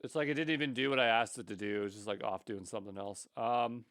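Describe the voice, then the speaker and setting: A man's voice: on the low side, fast, a bit bright, smooth, no room sound, studio quality. A man giving an unscripted coding tutorial, thinking aloud in a rambling way with mildly exasperated asides. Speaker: tutorial instructor.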